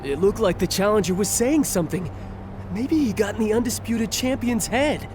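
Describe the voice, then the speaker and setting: An actress playing a rattled, gossipy monster spectator in a video game, recounting things conversationally. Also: female voice, mid-range voice, normal speed, background noise, close to an everyday voice. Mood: puzzled. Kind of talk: video game cutscene acting.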